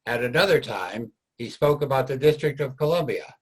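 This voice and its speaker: A man's voice: mid-range voice, animated, moderate pace, slightly rough. A man narrating a scripted historical reader's theater piece.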